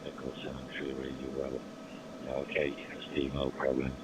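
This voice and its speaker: A man whose first language is German, a radio amateur speaking halting English with many fillers over a radio contact. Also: very deep voice, completely flat delivery. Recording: muffled noisy radio signal.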